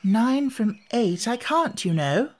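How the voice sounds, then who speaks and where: Guy doing a little storybook girl, polite n earnest, lighter higher pitch. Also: animated, slow, full character voice. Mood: puzzled.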